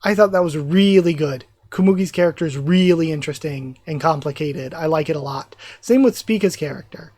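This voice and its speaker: A YouTube reactor losing their voice, chatting excitedly in a live reaction while reading a manga aloud. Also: mid-range voice, brisk, few pauses, no echo.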